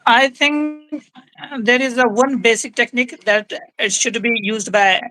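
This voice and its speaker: A woman's voice: on the high side, fairly even intonation, unhurried, sharp tone. A woman explaining language-learning tips in a conversation, firm and repeating her key points. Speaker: English-speaking guest.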